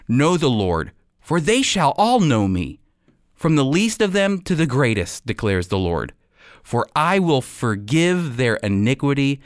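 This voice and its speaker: A man giving a radio Bible teaching, explaining and reading scripture with emphatic, deliberate phrasing. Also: mid-range voice, smooth, dry recording, studio quality.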